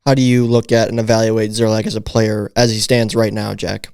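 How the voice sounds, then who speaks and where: Podcast host guy, clear voice, dead dry studio sound, keeps asking stuff. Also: lowish voice, little pitch movement, brisk, a little mellow, dry recording. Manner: leading, asks questions. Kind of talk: casual podcast chat.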